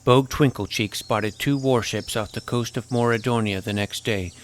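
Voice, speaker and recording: A man's voice: mid-range voice, normal speed, slight background noise, close to an everyday voice. Man as young hero narrating, faint background ambience. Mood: earnest storytelling. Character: plucky boy hero from another world telling his tale. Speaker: narrator and lead character. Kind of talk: first-person scripted fantasy narration with dialogue.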